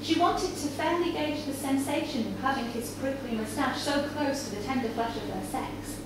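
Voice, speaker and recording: A woman with a high voice reads a story aloud at a live event. She is heard from a distance in a strongly reverberant cafe bar, with clear noise from the room around her. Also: normal speed. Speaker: reader at a live literary event. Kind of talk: live story reading to an audience. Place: indoor cafe bar with a small audience. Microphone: far camera. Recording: echoey noisy room, far from microphone. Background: audience ambience and background noise.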